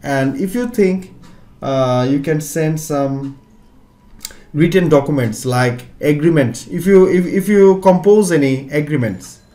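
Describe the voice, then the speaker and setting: A man's voice: fairly high pitch, measured pace, mellow. A middle-aged man teaching a computer lesson one on one, explaining patiently step by step. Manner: patient, explaining step by step, non-native phrasing. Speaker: computer tutor.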